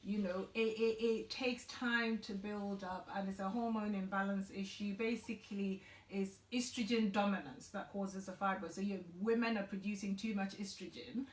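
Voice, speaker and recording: A woman's voice: medium pitch, fairly even intonation, normal speed, hardly any pauses. A woman in her forties from Ghana, earnestly explaining health issues as a guest in a conversational interview. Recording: echoey room.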